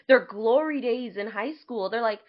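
A woman co-hosting a casual chat show, speaking in a blunt, playful and expressive way. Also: on the high side, normal speed, dull audio. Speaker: podcast co-host.

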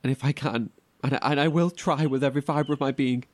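An actor in an audio horror drama playing an anxious, devoted brother, halting and stammering with emotion. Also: male voice, medium pitch, fairly even intonation, close to an everyday voice. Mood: emotional, hopeful. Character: anxious, devoted, grief-stricken brother surviving apart.

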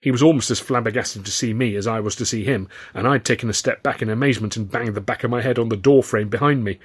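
A man's voice: mid-range voice, quick pace, breathy edge. A man reading a scripted first-person story in a wry, literary manner. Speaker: author and audiobook narrator.

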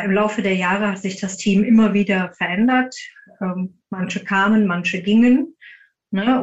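Woman interview guest in a roomy, dull recording. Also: medium pitch. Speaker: podcast guest, team founder. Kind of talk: conversational interview answers. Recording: roomy, slightly dull sound.

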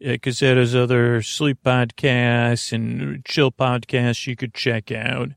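A man hosting a bedtime podcast in a halting, meandering monologue with a creaky voice that drifts onto tangents. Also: medium pitch, flat delivery, no room sound, clean studio sound. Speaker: podcast host.

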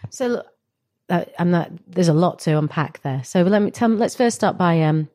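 A woman's voice: lowish voice, lively intonation, normal speed, mellow. Female interviewer, direct prompting questions, conversational.

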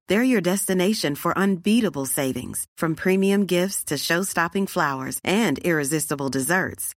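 A woman's voice: on the low side, dry recording, studio quality. A woman narrating a scripted retail advertisement as an announcer. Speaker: commercial announcer.

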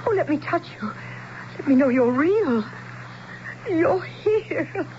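High, breathless, awestruck diner waitress. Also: female voice, animated, slow. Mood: awed, overjoyed. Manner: breathless, gushing, pleading. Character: excitable, awestruck young diner waitress, desperately hopeful. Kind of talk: 1970s radio drama acting. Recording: dull radio broadcast with faint noise.

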